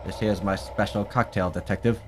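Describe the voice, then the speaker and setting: A man's voice: fairly low pitch, steady tone, fairly quick, background noise, natural voice. A man playing a menacing mad scientist villain in an audio drama, gloating and theatrical with mock politeness.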